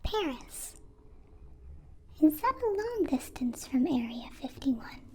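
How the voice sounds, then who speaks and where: A woman voicing a gentle, curious, naive alien in a soft whispered ASMR roleplay, with halting, formal, literal phrasing. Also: sits high, sing-song, heavily acted. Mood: curious, tentative. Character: gentle, curious, naive captive-born alien, newly befriended.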